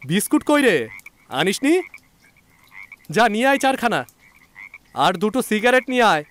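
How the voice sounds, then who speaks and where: A man acting an eager, teasing male listener in an audio story, loud and insistently questioning. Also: high voice, very animated, measured pace, pitched above the performer's own voice, full character voice. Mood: bossy.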